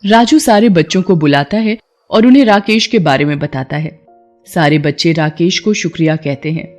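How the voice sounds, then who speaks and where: A woman's voice: on the low side, moderate pace, a little mellow, soft background music. A woman reading scripted narration for a children's moral story.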